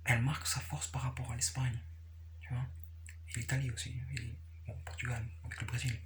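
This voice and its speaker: A man giving a casual, unscripted explanation, repeating himself haltingly and often checking that the listener follows. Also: medium pitch, flat delivery, a bit bright, slight background noise. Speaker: capoeira practitioner sharing views.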